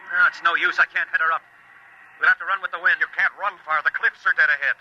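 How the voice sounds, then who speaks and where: A man acting a frantic spaceman in a vintage radio drama, high in pitch, with urgent, exclaimed lines. The old recording is muffled, with clear noise around the voice. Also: fairly even intonation, fast, lightly acted. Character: frantic crewman battling a deadly windstorm.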